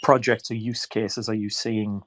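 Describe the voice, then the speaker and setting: A man with a stuffed-up voice from a cold, hosting a casual online chat in a relaxed, rambling way. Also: on the low side, a little slow, no room sound. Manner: relaxed, rambling, filler-heavy. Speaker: host.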